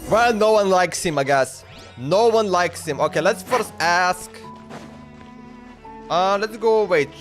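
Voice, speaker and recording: A man's voice: high voice, lively intonation, slow pace. A man streaming a game, giving casual, slangy reactions and repeating himself. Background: game music.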